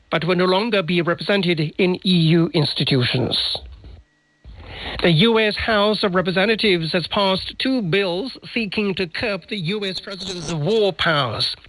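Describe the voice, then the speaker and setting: A man's voice: high-pitched, a bit bright, no room sound, low-quality recording. A man reading scripted news as a radio anchor, heard over a shortwave broadcast.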